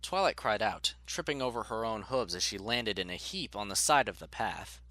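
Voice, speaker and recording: A man gives a dramatic, scripted audiobook narration. His voice is fairly low, with a bright, forceful edge. The sound is dry, with no room to it. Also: animated, normal speed, no room sound, soft background music.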